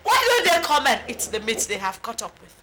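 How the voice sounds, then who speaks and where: An actress with a Nigerian accent playing an anguished, defiant bereaved mother in a film melodrama, wailing and pleading in drawn-out cries. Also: on the high side, wildly swooping pitch, echoey room, distant mic. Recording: noisy film location sound with clicks.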